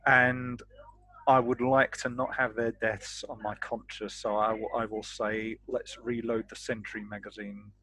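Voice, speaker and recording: A man's voice: fairly low pitch, level tone, a little slow, sharp tone, low-quality recording. A man chatting casually as a tabletop game player, questioning and a little hesitant.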